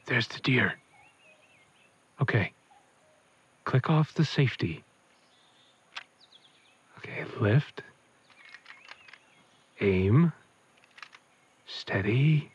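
A man's voice: mid-range voice, animated, extremely slow, long pauses, slightly rough, full character voice. A middle-aged man acting a grieving, protective widowed father in an audio drama, slightly muffled. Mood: gentle, regretful.

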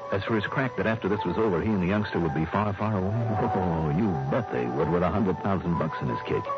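Low-voiced hard-boiled radio detective. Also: male voice. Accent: American. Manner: sardonic, slangy, punchy. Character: hard-boiled wry male insurance investigator, narrating his case. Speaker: lead actor. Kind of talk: 1960s American radio detective drama. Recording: dull vintage radio recording, music behind.

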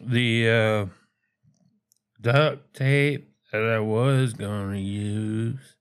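Brief: slow, halting folksy caller, man from Montana, smooth clear voice, rambling comic message. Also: mid-range voice. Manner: halting and rambling, full of fillers and restarts; folksy, chuckles at his own jokes.

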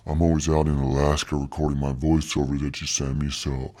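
A man playing a laid-back, boastful voice-over guy with a thick deep voice and slangy drawl, in a comic podcast interview. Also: flat delivery, brisk, darkish tone. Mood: laid-back swagger. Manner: drawling slangy swagger, punctuated with "dog". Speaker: podcast guest and voice-over artist.

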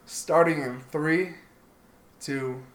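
A man's voice: on the high side, steady tone, drawn-out words. Teasing young man hosting, echoey room. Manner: teasing, laughs often. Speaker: podcast host. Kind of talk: casual banter among friends. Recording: echoey room with faint noise.

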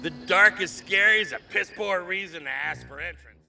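A man's voice: high-pitched, normal speed, pushed and bright, full character voice, poor audio. A man voicing a surly, mocking gatekeeper in a fantasy audio drama, dry and dismissive.

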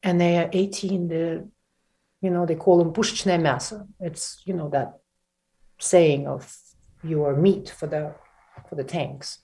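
A woman from Ukraine speaking as an interview guest, halting and emphatic with many restarts. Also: deep voice, fairly even intonation, gravelly, warm tone. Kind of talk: emotional conversational interview.

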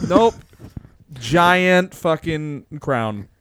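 Podcast co-host, male, smooth clear tone, dry close sound, laughs a lot. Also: fairly high pitch, very slow, no echo. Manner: laughs often, animated storytelling. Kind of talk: casual podcast chat.

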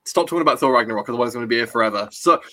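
A man's voice: mid-range voice, racing, sharp tone, no room sound, studio quality. A man hosting a casual chat about films, chatty and rambling, reading live comments as he goes. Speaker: podcast host.

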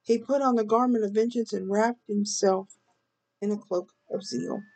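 A slightly hoarse woman co-hosting a faith podcast, giving a devotional talk with scripture. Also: mid-range voice, steady tone, normal speed, background noise. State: slightly hoarse, recovering from illness.

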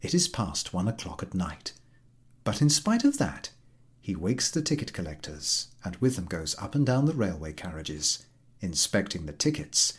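A man's voice: low-pitched, big pitch swings, normal speed. A man narrating a classic short story in a scripted, literary reading style. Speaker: story reader.

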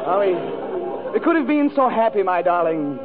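A man's voice: high voice, very animated, moderate pace, phone-quality sound, over a noisy crowd. A man playing a melodramatic, gushing lover, sighing and repeating himself in a 1940s radio comedy monologue. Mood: wistful, theatrical. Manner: overwrought, sighing, repeating phrases for effect. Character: melodramatic, gushing male lover reciting lost romance. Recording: thin vintage radio broadcast with music, audience.

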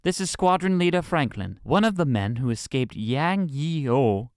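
A man's voice: fairly high pitch, expressive, measured pace, a little mellow, no room sound, studio quality. A man playing a formal, patient military officer in a comedy audio drama. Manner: formal, patient, slightly flustered. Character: polite, fussy male military officer.

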